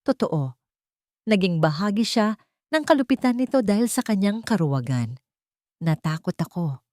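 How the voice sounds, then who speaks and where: A woman narrating a scripted audio drama with steady, dramatic storytelling. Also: mid-range voice, moderate pace, dry recording, clean studio sound.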